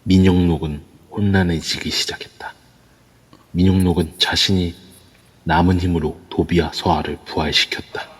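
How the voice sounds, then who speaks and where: Man, very low voice, flat delivery, drama narration, long reverb. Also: moderate pace, slightly rough. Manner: even, storytelling.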